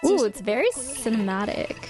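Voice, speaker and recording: A woman doing casual, expressive live stream commentary as she reacts to game trailers, with a giggly, exclaiming manner. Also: fairly high pitch, moderate pace. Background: music from game trailers.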